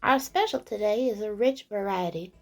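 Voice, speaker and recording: A woman's voice: mid-range voice, normal speed, natural voice. A woman playing a busy, polite and chatty tavern serving woman in a fantasy audio drama. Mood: chatty, attentive. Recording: background music under the voice.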